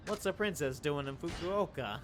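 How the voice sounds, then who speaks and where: A man's voice: on the high side, lively intonation, moderate pace, music in the background, pitched above the performer's own voice, close to an everyday voice. A man reading a stoic, wry ex-yakuza cabbie. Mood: dry. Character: stoic middle-aged male ex-yakuza taxi driver. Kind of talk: reading game subtitles aloud.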